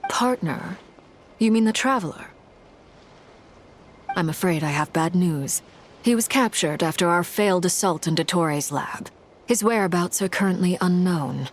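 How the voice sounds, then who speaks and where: An actress playing a cool, formal, dryly condescending female commander in a video game, in a measured deadpan. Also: fairly low pitch, a little hiss or hum. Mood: composed, serious. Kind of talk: English video game voice acting. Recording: game music behind the voice.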